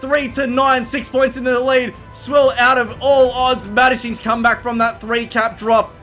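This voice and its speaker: A man calling an online shooter match, shouting fast play-by-play. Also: very high-pitched, soft background music. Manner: excited, fast, shouting near voice loss. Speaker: esports caster.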